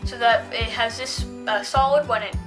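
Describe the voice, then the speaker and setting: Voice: mid-range voice, steady tone, moderate pace, over music. A female-sounding reviewer giving a casual, unscripted product demonstration, talking in a hesitant, rambling way.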